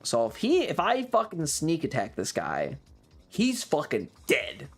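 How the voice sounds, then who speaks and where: A man's voice: on the high side, wildly swooping pitch, unhurried, breathy edge. A man chatting casually to stream viewers, telling stories emphatically with heavy swearing and repeated phrases. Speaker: livestreamer. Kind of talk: casual chat, animated storytelling to stream viewers.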